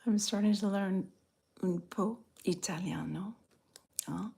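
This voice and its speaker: A woman from the Southern US with a slight Southern accent, softly whispering in an unhurried, drawn-out way as she chats with viewers in an ASMR stream. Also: medium pitch, vocal fry, slight background noise. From Southern United States. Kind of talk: ASMR whispered live chat.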